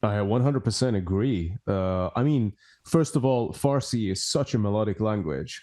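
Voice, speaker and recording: A man's voice: lowish voice, expressive, normal speed, mellow. Earnest young male podcast host. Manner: earnest, filler-heavy. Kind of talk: casual interview chat. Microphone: headset, video call.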